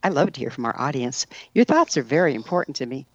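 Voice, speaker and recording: A woman's voice: rumbling low, expressive, quick pace, dry recording. A woman hosts an interview show. The recording is dry and close, with little room sound, and somewhat muffled and lo-fi. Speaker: host. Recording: muffled, dull lo-fi audio.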